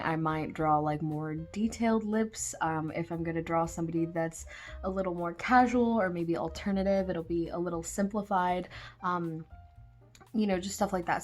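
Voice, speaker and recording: A young woman, a bit hoarse after just waking up, talking casually through a drawing tutorial with lots of fillers. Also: lowish voice, darkish tone. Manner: rambling, filler-heavy, self-deprecating. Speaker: art channel host. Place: at a desk, filming her drawing from overhead.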